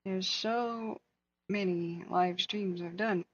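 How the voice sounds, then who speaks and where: A woman hosting a wildfire tracking livestream, hoarse from hours of talking, speaking earnestly and answering viewers by name. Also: mid-range voice, steady tone, takes it slowly, lo-fi sound, a little hiss or hum. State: hoarse, losing her voice. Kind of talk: casual livestream chat.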